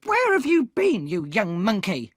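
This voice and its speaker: A man doing a shrill, scolding harsh woman. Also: wildly swooping pitch, a little slow, husky, dry recording, clean studio sound, heavily acted. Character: hard, angry older sister, a woman.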